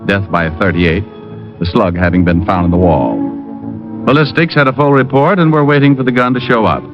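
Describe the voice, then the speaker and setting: An actor playing a wisecracking hard-boiled private detective who also narrates, in an old radio drama. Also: male voice, fairly low pitch, natural voice. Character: wisecracking tough private detective, adult man. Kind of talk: 1940s-50s radio drama acting. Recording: dull old radio broadcast with music.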